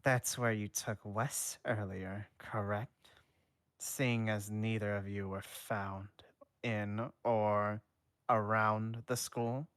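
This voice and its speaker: A dry, formal schoolteacher, voiced by a man in a low, unhurried tone. He stays calm and matter-of-fact. The sound is close and dead. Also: smooth, no room sound.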